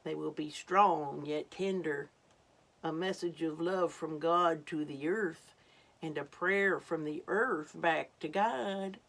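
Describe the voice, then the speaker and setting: A woman voicing a wise, gentle old female turtle from a children's storybook, speaking solemnly and deliberately. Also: low voice, vocal fry, heavily acted. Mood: prophetic, tender. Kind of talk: storybook read-aloud for children.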